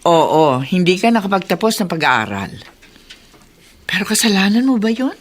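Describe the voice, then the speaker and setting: A woman's voice: mid-range voice, big pitch swings, normal speed, slight background noise, natural voice. A woman with a Filipino accent playing a firm, encouraging middle-aged aunt in a radio drama. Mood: concerned, encouraging. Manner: emphatic, admonishing, encouraging. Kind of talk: Tagalog radio drama acting.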